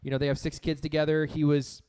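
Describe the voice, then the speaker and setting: Co-host, texan man, slightly high voice, relaxed studio chat, explains at length. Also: quick pace, slight background noise. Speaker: radio show co-host. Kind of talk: casual radio chat. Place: local radio studio. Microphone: studio mic.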